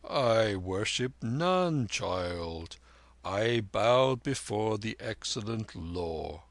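A man voicing a gentle, slow-spoken old monk in a storybook reading. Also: lowish voice, animated, no room sound, full character voice. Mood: calm.

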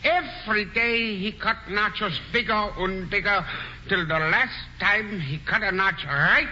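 Casting: a chatty jailer, high, very bright voice, 1940s radio play. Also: male voice, measured pace, slight background noise, exaggerated voice. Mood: rambling. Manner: broken English, rambling. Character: simple-minded male Dutch jailer, chatty. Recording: dull old radio broadcast with long reverb.

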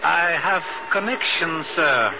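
An actor playing a courteous, sly stamp collector in a 1950s radio drama, ornately polite and self-assured. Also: male voice, fairly high pitch, animated, takes it slowly, creaky, full character voice. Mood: unctuous. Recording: noisy, muffled old radio recording.

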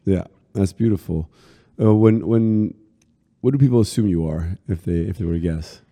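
A man hosting an interview show, asking relaxed, rambling questions. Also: sits low, moderate pace. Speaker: host. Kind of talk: casual interview chat. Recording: long room reverb.

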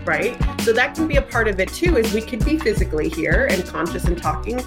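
A woman's voice: mid-range voice, sing-song, brisk, over loud music. A woman guest on a podcast, explaining her ideas in a casual, conversational way.

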